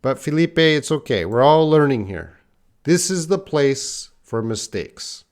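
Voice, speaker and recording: A middle-aged man speaks as an English teacher on a live stream. His pace is slow and his pitch sits in an ordinary male range. He talks plainly to learners, calling them by name and repeating words for emphasis. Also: expressive, a little mellow. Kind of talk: live-stream teaching, answering student comments.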